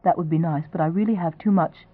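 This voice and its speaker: A woman's voice: fairly low pitch, quick pace, no room sound, natural voice. A woman voicing a proud young woman who answers curtly and defensively. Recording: tinny, phone-like sound.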